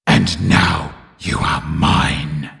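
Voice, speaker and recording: A man voicing a demonic, menacing nightmare animatronic in a horror game, with a gravelly growl and slow, cruel delivery. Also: very deep voice, steady tone, a bit bright, full character voice. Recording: long cavernous reverb.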